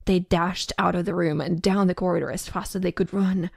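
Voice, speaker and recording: A woman's voice: on the low side, steady tone, a little fast, vocal fry, a little mellow, slightly rough, dry recording, studio quality. A woman reading scripted narration for an audio drama.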